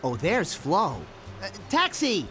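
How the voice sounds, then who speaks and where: An actor playing an eager, nervous young bee best friend in a cartoon game, earnest and prone to stammering. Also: male voice, high voice, huge pitch swings, takes it slowly, a bit bright, faint music behind, exaggerated voice. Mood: exasperated, earnest. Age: young adult.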